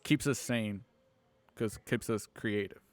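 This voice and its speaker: A man hosting an online show, chatting casually and haltingly on a livestream about plans for it. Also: on the low side, a crowd in the background. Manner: halting, informal. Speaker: host and organizer of an online radio drama show. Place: at home on a multistream video call.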